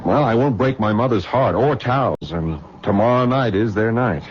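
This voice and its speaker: An actor playing an earnest young doctor in love in an old radio drama, with a dull, noisy recording. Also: male voice, mid-range voice, expressive, normal speed, natural voice. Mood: tender, resigned. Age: 20s. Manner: earnest, pleading.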